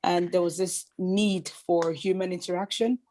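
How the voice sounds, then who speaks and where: A woman with a husky voice from a sore throat, chatting casually as a participant on a video call. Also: lowish voice, fairly even intonation, normal speed, mellow. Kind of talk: casual chat.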